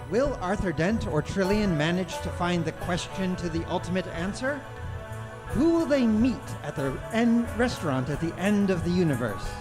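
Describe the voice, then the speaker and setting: A man's voice: sits high, rough recording. A man narrating a radio serial's cliffhanger questions in a scripted announcer style.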